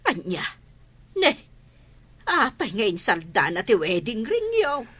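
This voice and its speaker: Woman acting bright-voiced, fretful older mother. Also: mid-range voice, big pitch swings, slow pace, breathy edge, heavily acted. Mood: shocked, concerned. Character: older mother of a grown son, fretful and disapproving. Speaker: voice actor in an audio drama. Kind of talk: dramatic radio-drama dialogue.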